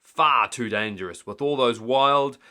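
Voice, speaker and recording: A man's voice: medium pitch, a little slow, pushed and bright. A man voicing a stubborn, blustery older male lighthouse keeper in a storybook, exclaiming and grumbling. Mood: indignant.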